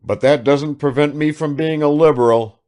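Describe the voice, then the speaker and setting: A man reading aloud and voicing a lounging, self-indulgent young gentleman who speaks in a grand manner. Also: fairly high pitch, moderate pace, smooth, pitched above the performer's own voice, lightly acted. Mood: self-satisfied.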